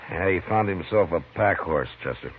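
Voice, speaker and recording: An actor playing a steady, grim American frontier marshal in a 1950s western radio drama, speaking in a terse, measured way. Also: male voice, low-pitched, breathy edge, full character voice. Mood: steady, businesslike. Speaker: lead character and narrator. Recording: muffled, noisy 1950s radio recording.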